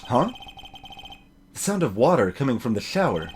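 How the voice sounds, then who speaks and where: A man giving casual let's play commentary and reading dialogue, haltingly thinking aloud. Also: mid-range voice, big pitch swings, slight background noise. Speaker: let's player voicing game characters. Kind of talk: casual gameplay commentary mixed with read dialogue.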